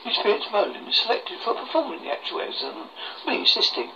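A horror host reading a scripted story aloud in a halting way, stumbling over words, on a muffled lo-fi recording. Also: medium pitch, sing-song. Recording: muffled lo-fi recording with faint noise.

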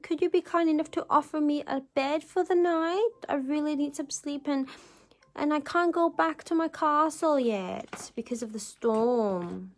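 Lady doing a tired little kids-story princess, high sweet-polite begging, plaintive. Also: unhurried. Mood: apologetic pleading. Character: polite, pleading, weary young storybook princess. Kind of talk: children's storybook character voice.

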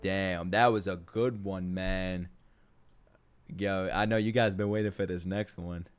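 A young adult American man giving excitable, slangy, crude live reactions as a streamer, casually chatting and exclaiming. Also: low-pitched, a little slow. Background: music.

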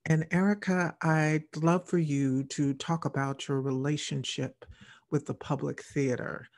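A woman's voice: sits low, steady tone, measured pace, darkish tone. An older woman hosting a radio interview over a video call. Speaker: radio show host, interviewer. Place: indoors at home, on a video call.

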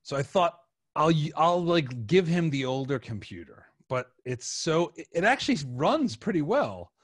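A man's voice: fairly high pitch, sing-song, normal speed, dry recording. Man chatting, close dry mic. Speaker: podcast host. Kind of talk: casual chat. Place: small room with sofa, indoors. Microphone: desk microphone close up, headset.

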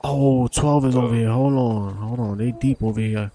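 A man's voice: mid-range voice, fairly even intonation, moderate pace, background noise. A man gaming online, calling out moves in slangy street talk and repeating short commands over a voice chat. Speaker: gamer streaming online roleplay. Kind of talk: in-game roleplay chatter, calling out moves.

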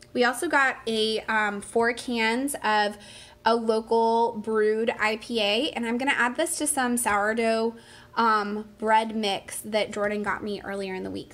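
Higher-pitched woman, casual vlogger. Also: a bit bright, slight background noise. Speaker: vlogger showing a grocery haul. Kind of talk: casual chatty narration of items.

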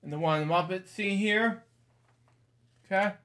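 A man's voice: high voice, moderate pace, fairly bright tone. A man in his thirties reading a picture book aloud in a small bedroom, rambling with casual asides and stock phrases.

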